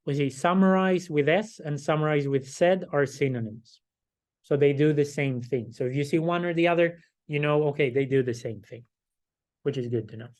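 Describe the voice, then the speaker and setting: A middle-aged man, hoarse and losing his voice, giving a class lecture and explaining each step slowly, often checking with "right?". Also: fairly high pitch, a little mellow. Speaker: instructor.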